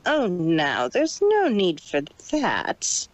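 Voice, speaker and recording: Man voicing a cold, slow-talking villainous mare. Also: sits high, huge pitch swings, muffled recording, a little hiss or hum, voice pushed up, full character voice. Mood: detached. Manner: condescending, unhurried, detached. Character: cold, detached, scheming female unicorn pony villain.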